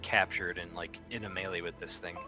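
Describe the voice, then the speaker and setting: A man's voice: low voice, fairly even intonation, fairly quick, bright, forceful tone, low-quality recording. A man playing a tabletop game, chatting in an informal, tentative way with music playing behind him. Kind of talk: casual game-table chat. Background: background music.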